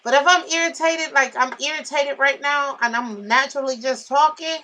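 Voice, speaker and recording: Loud lady vlogger venting, high bright voice, blunt and emphatic, telling her story. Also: normal speed, a little hiss or hum, poor audio. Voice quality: naturally loud voice. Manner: blunt, emphatic, animated retelling with repetitions.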